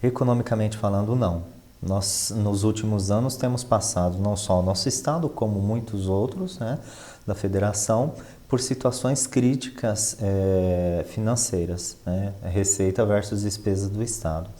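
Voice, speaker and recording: Male interview guest, lowish pitch, dark relaxed tone, formal measured delivery with halting phrases. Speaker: finance professional and professor, interview guest. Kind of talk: conversational interview answers.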